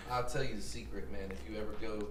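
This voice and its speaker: A man's voice: on the low side, fairly even intonation, quick pace, a crowd in the background. A man appearing as a guest on a comedy podcast, laid-back and drawling, peppering his talk with 'bro' and 'man'. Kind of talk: casual comedic podcast banter.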